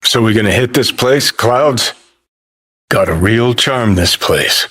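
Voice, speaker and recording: An actor playing a cynical, sardonic middle-aged rebel rocker in a video game, with a gravelly, husky, deadpan drawl. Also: male voice, medium pitch, slow, exaggerated voice. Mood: sardonic, cool. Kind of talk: video game character dialogue.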